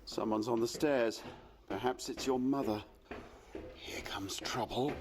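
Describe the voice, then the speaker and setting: A man's voice: mid-range voice, unhurried, background noise, natural voice. A man acting a coaxing, defensive painter, husband and father, in a radio play. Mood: wary.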